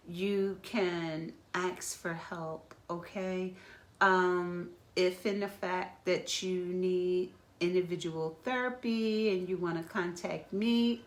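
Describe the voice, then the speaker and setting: A woman therapist hosting a live stream, talking gently and reassuringly, slightly congested from asthma. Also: fairly low pitch. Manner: gentle, reassuring, guides breathing exercises. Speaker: therapist and host of a live talk. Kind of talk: slow, caring live-stream talk. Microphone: phone or webcam live feed.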